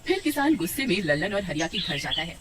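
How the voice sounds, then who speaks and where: A woman's voice: lowish voice, sing-song, quick pace, fairly bright tone, far from the mic, a little hiss or hum. A woman storyteller reading scripted narration for a cartoon story.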